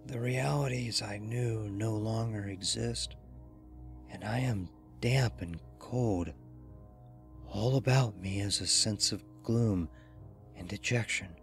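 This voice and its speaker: A man voicing a dreary, despairing dead man who speaks slowly and haltingly in a horror audio story. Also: lowish voice, soft background music. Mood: dreary, hopeless. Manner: slow, halting, hopeless delivery. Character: dead man entranced, dreary, despairing, hearing terror approach.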